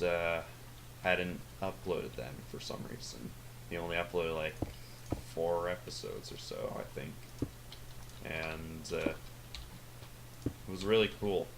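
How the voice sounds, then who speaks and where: A teenage boy with a deep voice that sounds older than his age, talking over his gameplay in a rambling, hesitant way full of fillers and self-corrections. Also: creaky, noisy background. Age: teens. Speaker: gaming channel host. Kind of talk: casual gameplay commentary.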